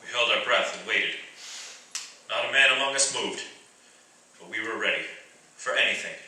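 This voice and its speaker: An actor playing an earnest, anxious young American prisoner of war in a radio play. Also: male voice, lowish voice, normal speed, noisy background, lightly acted. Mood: solemn, tense. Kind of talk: radio theatre narration and dramatic acting. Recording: echoey hall from far off, music behind.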